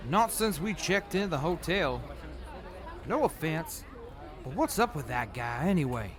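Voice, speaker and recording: A practical, wary traveler, played by a man in an audio drama. The voice is high and animated, with a faint crowd murmur behind it. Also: unhurried.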